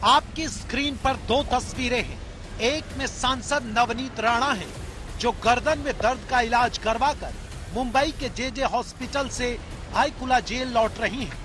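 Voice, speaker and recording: Very high-pitched male news narrator. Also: unhurried, a bit bright, faint music behind. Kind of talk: scripted news voice-over.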